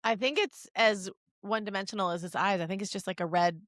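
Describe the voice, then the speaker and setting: A woman's voice: mid-range voice, steady tone, fast, fairly bright tone, no room sound, clean studio sound. A woman co-hosting a podcast, chatting and joking casually. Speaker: podcast co-host. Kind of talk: casual comic banter.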